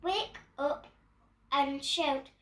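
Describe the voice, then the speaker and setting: A woman's voice: sits high, very slow, bright, forceful tone. A young girl with a British accent reading a picture book aloud in a playful storytime style. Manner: playful, acts out bird calls and actions. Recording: roomy echo, distant microphone.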